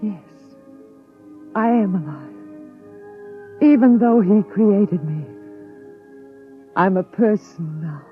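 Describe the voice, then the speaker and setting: A gentle, wistful android woman speaks very slowly and solemnly, as if feeling is new to her. The sound is a muffled old radio drama with music underneath. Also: medium pitch, lively intonation. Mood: wistful, sad. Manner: measured, hushed, solemn delivery. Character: gentle artificial android wife, wistful, newly feeling emotion. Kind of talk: 1950s radio drama acting.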